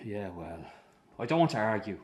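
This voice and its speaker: An actor playing a weary, patient but strained Irish circus manager in a radio drama. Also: male voice, on the low side, big pitch swings, normal speed, darkish tone, slightly rough. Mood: weary, conciliatory. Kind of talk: radio drama acting with narration.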